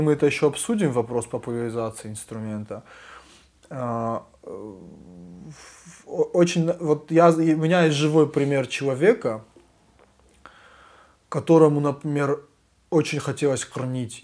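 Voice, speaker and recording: A man's voice: medium pitch, moderate pace, a little mellow. A young adult man, a guest musician, explaining things earnestly and with animation in a casual conversation.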